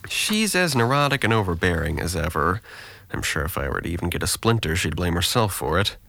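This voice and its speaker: A man's voice: low-pitched, big pitch swings, brisk, creaky, bright, forceful tone, no echo, heavily acted. An actor playing a sarcastic, guarded, depressed young man with dry wit and an articulate, deflecting manner in an audio drama. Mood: detached, weary.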